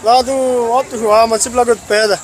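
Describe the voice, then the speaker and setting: A man with a notably high voice and a Northeastern Brazilian accent. He chats casually as a vendor at an outdoor market. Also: steady tone, fast, crowd noise. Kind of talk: casual chat. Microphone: reporter's handheld mic held out.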